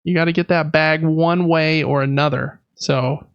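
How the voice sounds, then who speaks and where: A man's voice: on the high side, steady tone, normal speed, clear, resonant tone, no echo, muffled recording. An American man in his thirties chatting casually as a podcast co-host, rambling along with self-deprecating humor. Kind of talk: casual chat.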